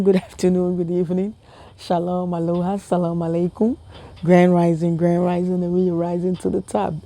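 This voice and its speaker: Animated woman from Ghana, mellow voice. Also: fairly low pitch, no room sound. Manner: animated, repetitive, laughing, talks to viewers as family. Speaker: vlogger. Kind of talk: casual storytelling to camera.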